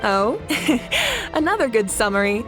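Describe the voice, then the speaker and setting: A woman playing a confident, kindly, good-humored ruler in a video game, poised and gently teasing. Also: medium pitch, very animated, a little slow, over music, low-quality recording. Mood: amused. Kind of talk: video game character acting.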